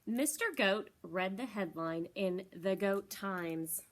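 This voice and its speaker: A woman's voice: medium pitch, takes it slowly. A woman in her thirties reading a children's storybook aloud expressively as a library storytime presenter. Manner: expressive, addressing young children. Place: small library corner, indoors.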